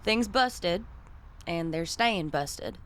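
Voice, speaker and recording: An actress playing a steady, guarded middle-aged mother and protective sister in a small town, in radio drama. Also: fairly low pitch, very slow, slight background noise, full character voice. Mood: guarded, resigned. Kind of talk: naturalistic radio drama acting.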